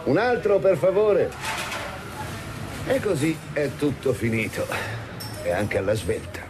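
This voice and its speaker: A man's voice: a little high, big pitch swings, a little slow, music in the background. An actor playing a genial, wry and shrewd male police commissioner in a radio drama. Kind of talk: Italian radio drama acting.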